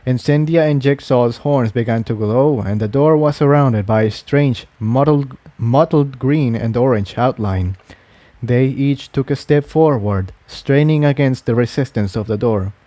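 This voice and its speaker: A man's voice: mid-range voice, measured pace, mellow, dry recording. A man reading a fantasy adventure story aloud as its narrator, in scripted narration. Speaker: narrator, fan fiction reader.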